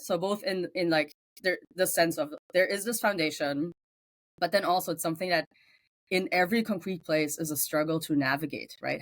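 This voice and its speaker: A lower-pitched speaker with a German accent talks in a reflective interview manner. The delivery is searching and unhurried, with many small pauses and fillers, heard in a dry, close recording. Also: female voice, no echo. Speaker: guest theologian. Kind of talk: interview conversation.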